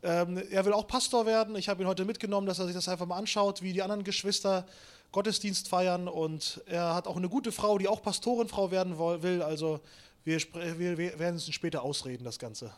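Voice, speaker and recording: High-voiced young male preacher. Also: a little fast. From Kazakhstan. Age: 20s. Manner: lively storytelling with self-mocking humor, walks around while preaching. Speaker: pastor. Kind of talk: sermon.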